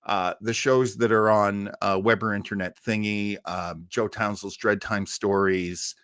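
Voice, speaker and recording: A man's voice: lowish voice, fairly even intonation, a little slow, fairly bright tone, clean tone, dry recording, clean studio sound. A man hosting a livestream, chatting casually with viewers in a rambling, stop-start way. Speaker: host of a livestream show.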